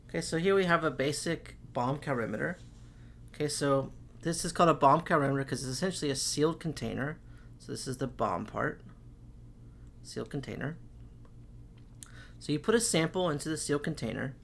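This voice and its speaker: Gravelly-voiced man losing his voice. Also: medium pitch, fairly bright tone, a little hiss or hum. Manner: talks through problems step by step, saying okay often. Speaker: chemistry teacher. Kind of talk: recorded classroom lecture.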